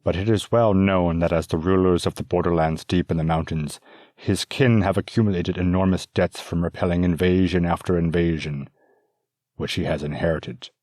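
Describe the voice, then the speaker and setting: A man's voice: sits low, fairly even intonation, normal speed, darkish tone, no echo, clean studio sound, lightly acted. A man doing a stern bearded nobleman father. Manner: firm, commanding.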